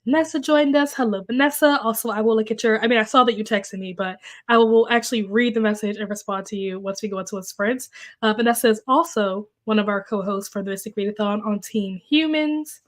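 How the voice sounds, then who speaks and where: A woman's voice: medium pitch, fairly quick. A young woman hosting a casual livestream, chatty and full of fillers, sounding congested and a little coughy as she recovers from being sick. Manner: chatty, filler-heavy, reacts to comments. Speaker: livestream host. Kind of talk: casual livestream chat, reading viewer comments. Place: at home. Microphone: desk microphone.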